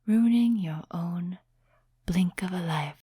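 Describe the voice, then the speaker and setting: Ancient female demon, played by a woman, ASMR roleplay: dark, lowish whisper, teasing condescension, close dry mic. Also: dry recording, full character voice. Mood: mocking, coaxing. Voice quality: breathy. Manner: slow, teasing, soft-spoken whispering. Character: ancient seductive demon, mocking, amused, condescending to mortals. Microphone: close, dry microphone.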